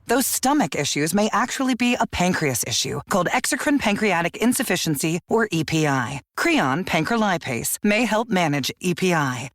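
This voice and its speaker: A woman's voice: lowish voice, dry recording, clean studio sound. A woman reading a scripted medical advertisement as announcer. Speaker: drug ad announcer.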